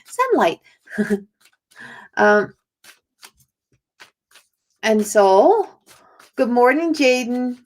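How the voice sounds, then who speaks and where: A woman's voice: on the high side, big pitch swings, drawn-out words, long pauses. Chatty woman with a strained voice. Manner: chatty and rambling, greets viewers by name. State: strained, failing voice. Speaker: oracle card reader and spiritual healer. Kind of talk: casual livestream chat.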